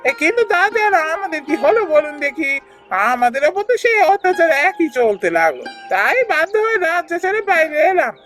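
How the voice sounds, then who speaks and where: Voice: extremely high pitch, sing-song, a little fast, fairly bright tone, exaggerated voice. An actor playing a timid, humble villager in a fairy-tale cartoon, with a pleading, plaintive delivery. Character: timid, humble villager fleeing a cruel king. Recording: music under the voice.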